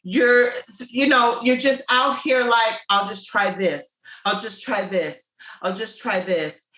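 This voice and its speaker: A woman's voice: on the high side, pushed and bright, reverberant, rough recording. A woman guest in a podcast interview, speaking in an emphatic, motivational way and drawing out her points. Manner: emphatic and motivational, drawn-out delivery. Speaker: interview guest, business owner. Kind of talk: casual interview chat.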